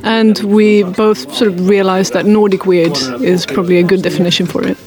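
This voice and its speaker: A young adult woman from Sweden with a Swedish accent, a native Swedish speaker, answering interview questions in a thoughtful, halting, self-deprecating way at a convention. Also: mid-range voice, little pitch movement. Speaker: author guest. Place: convention venue. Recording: chatter of a convention crowd behind.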